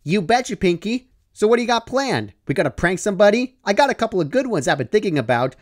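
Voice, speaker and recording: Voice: mid-range voice, sing-song, fast, voice pushed up, close to an everyday voice. A narrator voicing a brash young female pegasus who talks in a casual, slangy, offhand way. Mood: game, eager.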